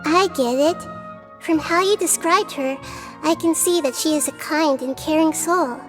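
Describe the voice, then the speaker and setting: A woman voicing a small, gentle, wise childlike goddess in a video game, composed and articulate, with a soft girlish voice. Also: high-pitched, measured pace, music in the background. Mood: sympathetic. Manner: composed, articulate, kindly explaining.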